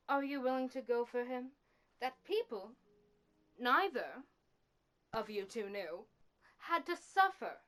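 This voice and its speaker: Casting a woman for a bitter, remorseful killer in an audio drama: very high pitch, slow, deliberate, accusing delivery. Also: fairly bright tone, heavily acted. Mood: cold, accusing. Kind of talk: dramatic character monologue.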